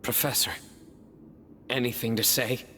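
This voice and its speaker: An actor playing a serious, blunt young investigator in a video game, intent and composed, with long reverb. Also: male voice, mid-range voice, little pitch movement, measured pace, bright, forceful tone, slight background noise. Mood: stern. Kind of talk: dramatic game voice acting.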